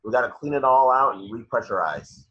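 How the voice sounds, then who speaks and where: A man's voice: medium pitch, lively intonation, a little fast, breathy edge, highs cut off. A man reading a blunt, grumbling spaceship engineer at an amateur table read. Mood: disgruntled. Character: gruff, grumbling working-class male spaceship engineer.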